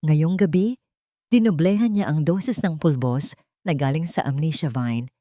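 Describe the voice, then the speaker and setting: A woman's voice: low voice, normal speed, mellow, no echo, studio quality. A woman narrating a scripted romantic drama with emotive, melodramatic storytelling. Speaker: narrator of audio love stories.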